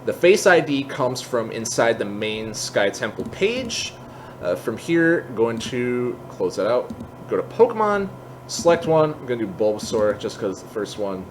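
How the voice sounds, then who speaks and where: A man with an average-pitched voice hosts a screen-share tutorial. He explains things informally, piece by piece, often thinking aloud. A noticeable bed of background noise sits under the recording. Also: very animated, measured pace. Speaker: tutorial host. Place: indoors at a computer, voice-over for screen capture.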